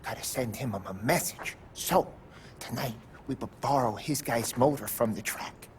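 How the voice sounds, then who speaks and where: A man's voice: medium pitch, normal speed, slight background noise, natural voice. An actor playing a nervous young mob errand man with a heavy stutter. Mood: jittery.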